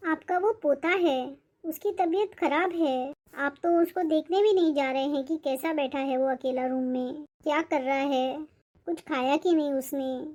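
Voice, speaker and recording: A woman's voice: high-pitched, a little slow, a little mellow, dull audio. A woman voicing a put-upon young mother and daughter-in-law in a cartoon family drama, polite but aggrieved.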